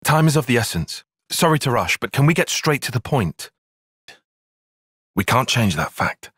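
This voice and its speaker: A man's voice: medium pitch, big pitch swings, normal speed, a bit bright, breathy edge, no echo, studio quality. A man with a British accent playing an earnest, analytical young soldier in a video game, speaking in formal, precise phrases. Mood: earnest, grave. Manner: formal, precise, bookish wording. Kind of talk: video game cutscene acting.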